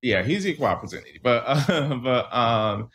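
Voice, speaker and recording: A young adult man from southeastern Michigan, a guest author talking casually, relaxed and restarting often. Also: medium pitch, moderate pace, slightly rough. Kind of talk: casual interview talk.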